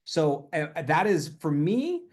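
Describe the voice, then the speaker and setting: Male team leader, higher voice, energetic and emphatic, live video call. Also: takes it slowly. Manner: upbeat, emphatic, repeats key points. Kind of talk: motivational team call talk.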